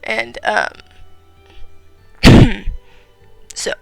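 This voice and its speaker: A teenage girl giving rambling, chatty commentary on her game late at night, her voice tired and raspy. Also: very slow, faint music behind. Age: teens. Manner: rambling, filler-heavy, chatty. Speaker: gaming vlogger.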